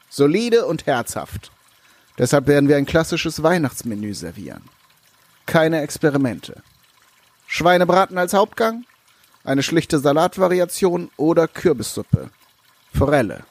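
A man's voice: fairly high pitch, no echo. An actor playing an earnest head chef addressing his kitchen team in an audio drama. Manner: declarative, speech-like address to staff.

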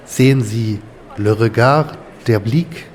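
Actor as French tour guide in echoing hall. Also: male voice, mid-range voice, a little mellow, close to an everyday voice. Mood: courteous, enthusiastic. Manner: theatrical, deliberate, searching for words. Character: courteous, enthusiastic French Louvre tour guide, a man. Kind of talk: German audio drama, guided museum tour. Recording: reverberant hall with faint ambient noise.

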